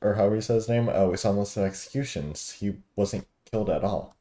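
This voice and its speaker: A man's voice: on the low side, fast talker, warm tone. A man who streams games, casually reacting and reading dialogue aloud. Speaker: gaming streamer.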